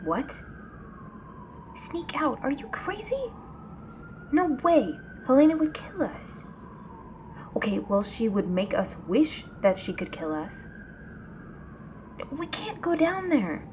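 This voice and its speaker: A woman playing a restless, anxious young woman in an ASMR audio drama, speaking softly and hesitantly, often near a whisper. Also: high-pitched, big pitch swings, slow, pauses often, full character voice. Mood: alarmed, reluctant. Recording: clear background noise, ambient sound.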